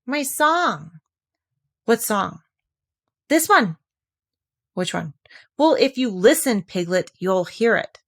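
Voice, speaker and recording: A woman voicing a simple, good-natured young male bear in a storybook reading, in a bright, eager, higher voice. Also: big pitch swings, slow, pauses often, heavily acted. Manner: bright, eager, a little high.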